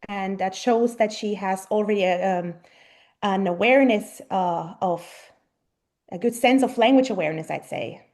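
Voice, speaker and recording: A German-born woman in her thirties, a native German speaker, answering interview questions thoughtfully with frequent pauses and ums. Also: mid-range voice, a little mellow. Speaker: guest mother. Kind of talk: casual interview conversation.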